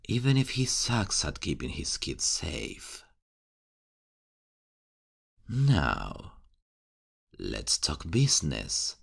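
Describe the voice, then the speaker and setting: A man playing a menacing, mocking male serial killer in an ASMR horror roleplay, taunting and chuckling in a hushed voice. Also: extremely low pitch, gravelly, breathy edge, exaggerated voice.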